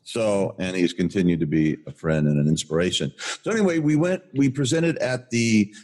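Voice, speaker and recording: An older man with a booming voice, co-hosting a podcast and telling a story in an enthusiastic, rambling way with many restarts. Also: lively intonation, normal speed, a little mellow. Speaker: podcast co-host. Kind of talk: casual rambling chat.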